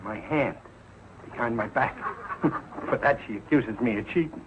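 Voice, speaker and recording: A man's voice: medium pitch, unhurried, natural voice. A man playing a bumbling, evasive working-class husband and father in a 1940s television comedy, blustering and sheepish, with sloppy grammar. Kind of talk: 1940s television sitcom acting. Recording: muffled, noisy old 1940s TV soundtrack.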